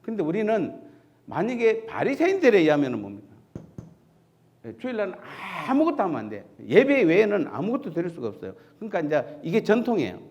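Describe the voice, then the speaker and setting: A man's voice: high voice, huge pitch swings, fairly quick, a little mellow. A man from Seoul, a pastor giving a conversational sermon in a church sanctuary, asking the congregation questions and answering them himself.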